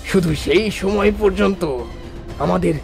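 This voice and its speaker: A man playing a scheming royal brother who plots for the throne in a fairy-tale cartoon, with a deliberate, theatrical delivery. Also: high voice, fairly even intonation, vocal fry, soft background music. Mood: gloating, calculating.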